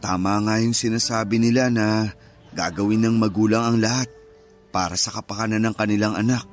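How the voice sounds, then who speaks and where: A man's voice: lowish voice, fairly even intonation, fairly quick, lightly acted. A man with a Filipino accent acting as a caring, patient young husband in a radio-style romance drama. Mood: calm, concerned. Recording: music under the voice.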